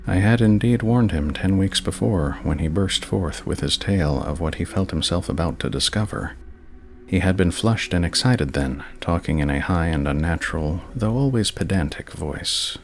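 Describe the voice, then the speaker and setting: A man narrating in a measured, solemn and slightly dramatic scripted storytelling style. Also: low voice, lively intonation, a little hiss or hum. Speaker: narrator, channel host.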